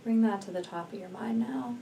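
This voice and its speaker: A woman guides a healing meditation in a mellow, relaxed voice. She speaks slowly and soothingly, letting long pauses sit between deliberate phrases. The recording sounds a little distant, with faint noise behind her. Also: on the high side. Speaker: energy healer and meditation guide.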